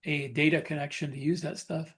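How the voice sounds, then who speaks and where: A man chatting casually as a podcast co-host, explaining things in a halting way. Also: on the high side, level tone, a bit bright, slightly rough.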